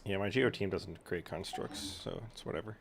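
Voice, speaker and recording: A man's voice: sits low, normal speed, a little hiss or hum. A man giving casual live gameplay commentary in a blunt, offhand, sweary way. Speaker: live streamer. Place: live stream with faint ambient noise behind.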